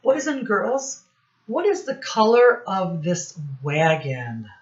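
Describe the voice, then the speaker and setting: Very slow-paced woman teaching little children. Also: mid-range voice, big pitch swings, reverberant. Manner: simple questions with deliberate repetition. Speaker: children's teacher and read-aloud host. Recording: dull, lo-fi sound.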